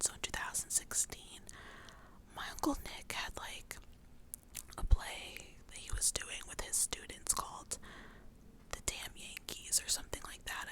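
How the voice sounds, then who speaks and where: A young adult woman whispering breathily in an informal, rambling, chatty ASMR style. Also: high voice. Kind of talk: whispered ASMR ramble about favorite movies.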